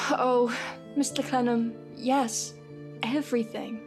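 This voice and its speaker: An actress playing a gentle, anxious young Victorian woman in an audio drama, timid, hesitant and earnest. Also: a little high, steady tone, slow. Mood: overwhelmed, wistful. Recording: reverberant, music behind.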